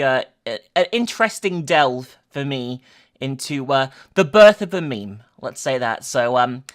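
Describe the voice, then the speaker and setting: Lower-voiced woman host, music behind. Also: fairly bright tone. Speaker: online video host and narrator. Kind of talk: casual commentary and dramatic story reading.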